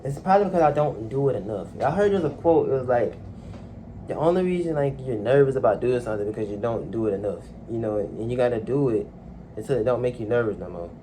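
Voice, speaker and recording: Soft-spoken young man, hesitant and halting. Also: medium pitch, animated, slight background noise, poor audio. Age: young adult. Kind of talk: casual personal monologue. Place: home, alone in a room. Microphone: phone.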